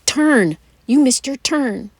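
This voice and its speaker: A woman voicing a fussy, bossy older mother who nags and argues indignantly, in a whispery, gravelly storytelling voice. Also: medium pitch, darkish tone, no room sound, heavily acted. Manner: nagging, indignant, quick to argue.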